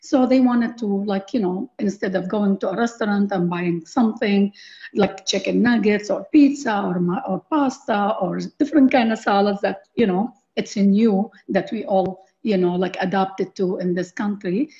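A woman's voice: mid-range voice, normal speed, warm tone. A middle-aged or older woman from Baghdad with an Iraqi accent, telling stories casually as an interview guest and often saying "you know" and "like". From Baghdad, Iraq. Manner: peppers talk with "you know" and "like". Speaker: interview guest, cookbook author. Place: living room at home, on a video call. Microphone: video call computer mic. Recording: dull lo-fi video call audio.

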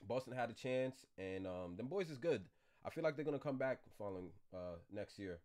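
A man's voice: mid-range voice, animated, brisk, darkish tone. A young man chats through a reaction video from his gaming chair at home. His voice swings widely up and down as he talks in loose slang. He laughs along at the clips he watches. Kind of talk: casual reaction commentary.

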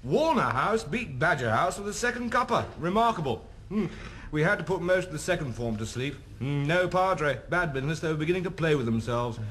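High-voiced, vague headmaster. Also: male voice, lively intonation, brisk, fairly bright tone. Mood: distracted. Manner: clipped, muttering, repetitive. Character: vague, tweedy, blustering school headmaster. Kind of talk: television sketch comedy acting. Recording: noisy 1970s television studio recording.

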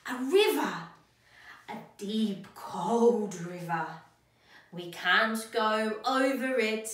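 Very animated young woman, slow storytelling, echoey room. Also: on the high side. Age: young adult. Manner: theatrical, exaggerated expression with dramatic gasps. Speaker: early childhood educator reading to children. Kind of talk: animated children's picture-book read-aloud. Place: small indoor classroom. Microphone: camera a short distance away. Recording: echoey room, slightly distant microphone.

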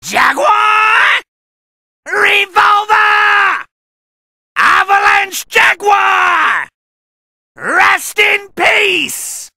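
An actor playing an arrogant, bloodthirsty male Muay Thai fighter in a fighting game, boastful and theatrical, with a strained, gravelly voice. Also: extremely high pitch, expressive, some chatter behind, heavily acted. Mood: fierce, triumphant. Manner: boastful, theatrical, drawn-out shouting. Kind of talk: fighting game battle taunts and attack shouts.

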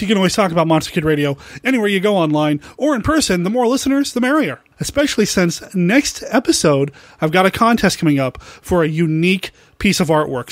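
High-pitched male podcast host. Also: no room sound, studio quality. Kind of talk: conversational podcast hosting.

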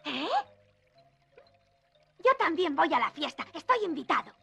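A woman's voice: high-pitched, lively intonation, a little slow, creaky, pushed and bright, heavily acted. A woman voicing an eager, plain-spoken young goatherd boy in a Spanish cartoon dub. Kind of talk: Spanish-language animated series dubbing. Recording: music behind the dialogue.

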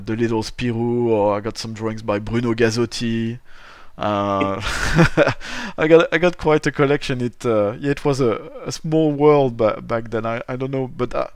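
A man's voice: mid-range voice, clear, resonant tone, no echo, studio quality. A middle-aged man from Belgium, hosting a casual podcast interview, chatty and rambling with many restarts. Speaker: podcast host.

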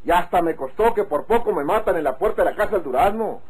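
A man's voice: high voice, lively intonation, fast, darkish tone, breathy edge. An actor playing a blunt, proud, honest rural man with a Mexican accent in an old radio drama, speaking insistently. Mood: firm. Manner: emphatic, insistent.

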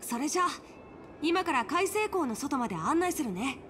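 A spirited young girl swordfighter from a video game. Her voice is high and swoops freely, cheeky and pouty in an informal way, with faint music behind. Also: sing-song, normal speed, fairly bright tone. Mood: confident, breezy.

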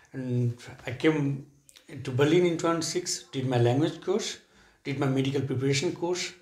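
A man in his thirties from Nepal, a surgeon being interviewed on a sofa, answering calmly and matter-of-factly with frequent hums. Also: mid-range voice. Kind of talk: casual conversational interview. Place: living room, seated on a sofa indoors. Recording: echoey room.

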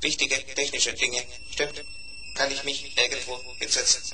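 An actor playing a small, polite, matter-of-fact robot in a children's audio play, speaking in a measured, formal, deliberate way. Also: male voice, mid-range voice, little pitch movement, close to an everyday voice. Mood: matter-of-fact, friendly. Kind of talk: 1970s German children's audio play acting. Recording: noisy old record transfer with clicks.

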